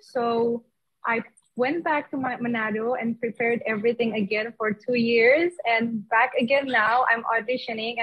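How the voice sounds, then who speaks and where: A woman's voice: fairly high pitch, a bit bright, husky, low-quality recording. A woman in her twenties from North Sulawesi, introducing herself in English in a poised, formal way over a video call. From North Sulawesi, Indonesia. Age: 20s. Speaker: guest contestant.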